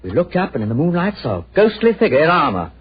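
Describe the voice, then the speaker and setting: A man's voice: fairly high pitch, big pitch swings, fast, slightly rough, exaggerated voice. An actor playing a courteous, worried young British nobleman in a 1940s radio drama. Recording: dull old radio recording, faint noise.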